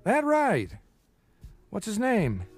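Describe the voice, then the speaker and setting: A boozy, charming middle-aged hustler of a radio play. His voice rides high and swings widely as he reels off self-mocking, flowery patter. Faint music plays behind him. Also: takes it slowly, full character voice. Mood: flustered, glib. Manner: theatrical flattery and flowery mock-grand patter. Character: hard-drinking middle-aged bookie, charming, glib, self-mocking father. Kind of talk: radio drama acting.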